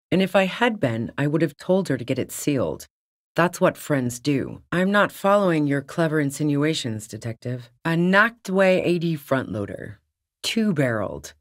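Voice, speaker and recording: A woman's voice: sits low, dry recording, studio quality. A woman voicing a tough, cynical, streetwise smuggler on the run in a video game, with a blunt, sardonic manner. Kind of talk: video game character dialogue.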